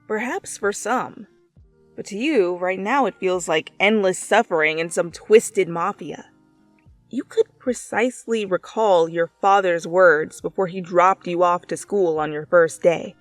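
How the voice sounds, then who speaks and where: A woman's voice: lowish voice, unhurried, no echo. A woman reading scripted second-person fiction as a narrator, close to the microphone. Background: soft background music.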